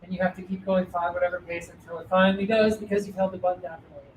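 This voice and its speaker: Lively middle-aged coach working a room; heard from a distance with room echo and faint hiss. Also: male voice, high voice, rapid-fire. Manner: animated, asks the audience, jokey. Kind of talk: talking to a live audience. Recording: roomy hall, distant voice, faint noise.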